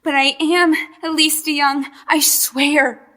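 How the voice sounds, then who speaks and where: A woman's voice: high-pitched, level tone, slow pace, bright, forceful tone, full character voice. An actress playing a frightened young woman trapped in a man's body, pleading and desperate.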